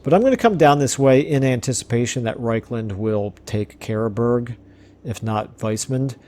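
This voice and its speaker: A man commentating his strategy game play casually, thinking aloud with fillers, his voice going hoarse. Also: medium pitch, expressive, normal speed, mellow, slight background noise. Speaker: let's play host.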